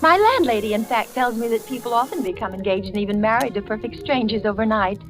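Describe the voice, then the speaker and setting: A woman's voice: a little high, expressive, quick pace, lightly acted. An actress playing a shy, romantic spinster in her forties, breathless and girlish, in a 1940s radio suspense drama. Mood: excited, dreamy. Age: 40s. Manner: breathless, girlish, fluttery, hesitant. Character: shy, gushing, romantic spinster of forty writing her diary. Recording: hissy old radio recording with music.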